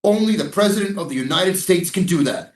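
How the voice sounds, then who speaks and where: A man's voice: sits high, lively intonation, a little fast. A man playing a hard, hostile soldier who barks clipped, authoritarian lines in a theatrical staged reading. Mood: stern. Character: hard, rigid male military guard commander, obedient and hostile. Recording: echoey stage, distant microphone.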